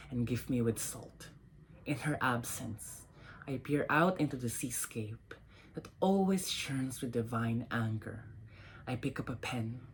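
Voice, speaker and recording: A woman's voice: a bass voice, a little hiss or hum. A woman reading prepared literary material aloud as host of an event. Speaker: event host introducing writers and reading poems. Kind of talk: poetry reading and scripted introduction.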